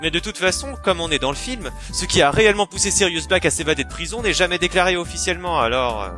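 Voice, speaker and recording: Man as bright, wry explaining wizard. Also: high voice, fast talker, soft background music. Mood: wry, explanatory. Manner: matter-of-fact explaining with sarcastic asides. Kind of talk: comic fan parody dub.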